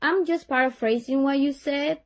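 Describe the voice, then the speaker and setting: A woman's voice: high voice, moderate pace. A middle-aged woman from Honduras with a Honduran Spanish accent, a parent speaking earnestly to a teacher and often repeating and restarting her words. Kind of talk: tense parent-teacher call.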